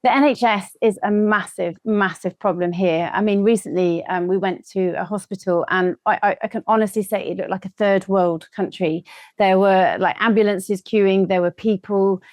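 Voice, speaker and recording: A woman's voice: medium pitch, steady tone, moderate pace, darkish tone, no echo, studio quality. A woman answering as a guest in a conversational political interview.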